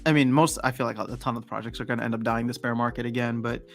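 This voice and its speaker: A man's voice: medium pitch, racing, gravelly, faint music behind. Rambling man hosting a stream chat. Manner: relaxed, rambling, frequent restarts. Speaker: livestream host. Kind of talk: casual chat.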